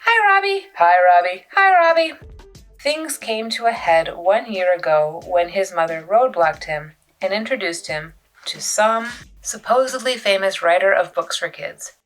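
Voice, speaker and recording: A woman reading a children's novel aloud as an expressive storyteller, with dramatic pauses and emphasis. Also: mid-range voice, faint music behind, low-quality recording. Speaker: youth services librarian reading a book aloud.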